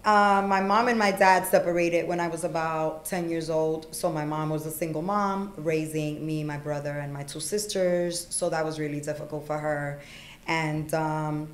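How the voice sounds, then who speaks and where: A middle-aged woman born in Puerto Rico, a podcast guest telling her life story frankly, with plenty of ums and you-knows, on a desk boom mic in a small studio. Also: steady tone, moderate pace, echoey room. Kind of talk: candid life story in conversation. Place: small studio room with acoustic panels.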